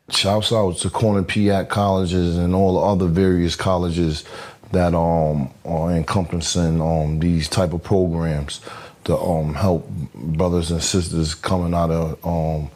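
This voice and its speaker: A man hosting a podcast interview, halting and earnest, with many fillers and restarts. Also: low-pitched, a little mellow. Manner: halting, many fillers and restarts, says "you know what I'm saying"; devout, earnest. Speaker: podcast host. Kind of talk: interview conversation.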